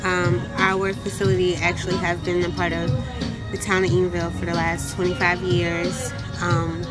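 Even, lowish woman over music. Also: normal speed. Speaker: daycare assistant director. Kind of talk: casual interview to camera. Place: outdoors by a mural. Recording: music bed behind the voice.

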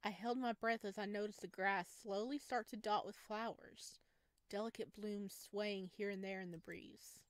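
A woman narrates a visual novel as a streamer would, reading expressively. Her pitch sits in the middle range for a woman. The sound is close and dry. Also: fairly even intonation, normal speed, dry recording.

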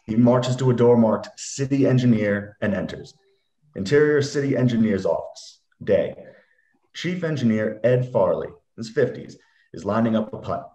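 A man's voice: mid-range voice, expressive, moderate pace, mellow. A man reading screenplay stage directions as narrator in a scripted reading. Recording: roomy echoing computer mic.

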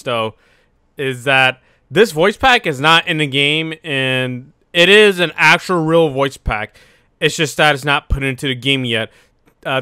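A man's voice: medium pitch, sharp tone, smooth, no room sound, clean studio sound. A man who makes gaming videos, chatting in a casual, offhand, rambling way as he explains things. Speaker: YouTube gamer.

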